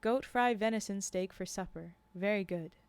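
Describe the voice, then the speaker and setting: A woman's voice: mid-range voice, moderate pace, clear, resonant tone, no echo, natural voice. A woman voicing a solemn, sly male lodge keeper in a storybook, speaking in clipped broken English. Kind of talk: storybook character reading.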